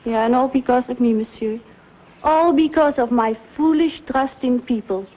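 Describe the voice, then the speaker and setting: Casting: a high-voiced, anxious young French teacher with a French accent, on a dull old TV soundtrack. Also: female voice, moderate pace. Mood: pleading. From France. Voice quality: breathy pleading, flustered restarts. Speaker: language teacher.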